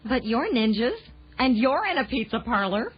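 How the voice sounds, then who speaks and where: A woman's voice: fairly high pitch, sing-song, moderate pace. An actress playing a plucky, bossy young woman news reporter in an audio drama for children. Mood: wary. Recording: dull lo-fi 1980s tape, music behind.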